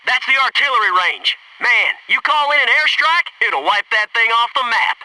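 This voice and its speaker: A man's voice: very high voice, animated, normal speed, bright, forceful tone, distant mic, slight background noise, full character voice, low-quality recording. An actor playing a cocky, wisecracking male Navy SEAL squadmate in a military video game, talking in brash, punchy banter. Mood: gleeful. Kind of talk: scripted video game squad radio lines.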